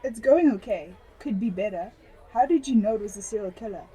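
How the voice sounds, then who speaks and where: A woman's voice: medium pitch, lively intonation, a little fast, warm tone, faint music behind, natural voice, rough recording. A woman acting a matter-of-fact police detective who takes charge, in a radio drama. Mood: businesslike. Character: businesslike female police detective.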